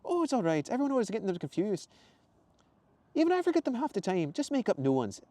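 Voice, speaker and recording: A man voicing a bubbly, eccentric woman shopkeeper in her thirties, loud and gushing. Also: high voice, huge pitch swings, fast talker, dry recording, pitched above the performer's own voice.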